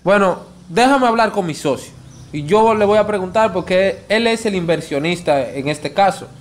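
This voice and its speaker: A man's voice: high-pitched, lively intonation, moderate pace, a little hiss or hum. A man in his twenties with a Dominican accent makes a prank phone call, posing as a show promoter in a pleading, insistent way. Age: 20s. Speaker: prank caller.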